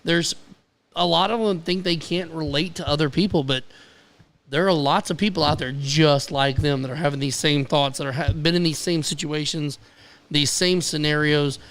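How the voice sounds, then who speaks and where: Man, Southern accent, fairly high voice, playful, casual radio chat. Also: normal speed, fairly bright tone. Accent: Southern American. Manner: teasing, upbeat banter. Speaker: podcast co-host.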